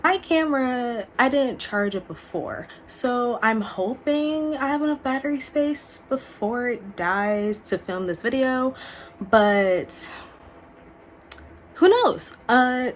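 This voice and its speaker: A woman's voice: on the high side, a little hiss or hum. A young adult woman chatting informally and a bit ramblingly to viewers, as a book vlogger recording in a small furnished room. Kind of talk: casual chat to viewers.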